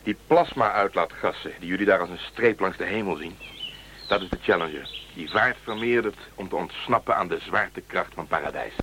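Wry space explorer in a radio play, kinda low voice, triumphant, telling his tale, slight noise. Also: normal speed, pushed and bright. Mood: proud. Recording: radio drama with faint noise.

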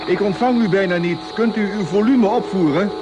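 Man acting excitable spaceship radioman, noisy old recording. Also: high voice, fairly quick, natural voice. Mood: exasperated. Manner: colloquial, exclamatory. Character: excitable, grumbling male spaceship radio operator. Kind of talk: vintage radio drama acting.

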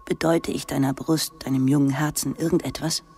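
An actress playing a wistful, gentle young lady teacher in a radio drama, confiding softly and haltingly. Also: low voice, fairly even intonation. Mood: wistful, pleading. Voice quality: soft, breathy, hushed. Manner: slow, halting, intimate confiding. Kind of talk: literary radio drama acting.

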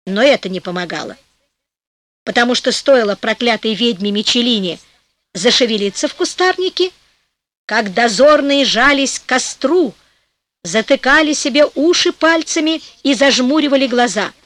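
A woman with a fairly high voice reads a fairy tale aloud with lively storytelling energy. She is recorded on a close studio microphone, and the sound is dry with no room around it. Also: no echo. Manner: lively storytelling, slows for the rhyme. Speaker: audiobook narrator. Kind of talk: scripted fairy-tale narration.

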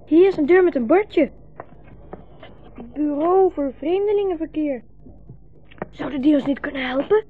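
A woman's voice: sits high, unhurried, long pauses. A woman playing a tired, earnest, polite thirteen-year-old boy in a 1960s radio play. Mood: weary. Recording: dull 1960s radio recording, music behind.

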